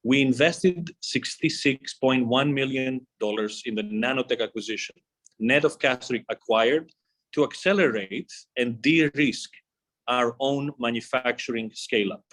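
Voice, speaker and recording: A man presenting as a company executive on an earnings call, reading scripted material in a formal, measured way, phrase by phrase. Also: mid-range voice, a bit bright.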